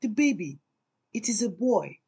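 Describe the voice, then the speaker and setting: A woman voicing an intense, secretive wife in a folktale, with hushed intensity. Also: medium pitch, sing-song, measured pace, husky, voice pushed up, exaggerated voice. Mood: tender, secretive. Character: Ronke, intense, secretive, unforgiving pregnant wife.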